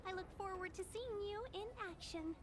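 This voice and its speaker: A woman's voice: very high-pitched, steady tone, normal speed, noisy background. A woman voicing a polite, precise, confident female official in a video game. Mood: poised, explanatory. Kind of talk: scripted video game acting.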